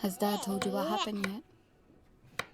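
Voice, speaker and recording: An actress playing an earnest, frustrated daughter in an audio drama, wanting to be included. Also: female voice, medium pitch, animated, slow, over loud music. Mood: worried.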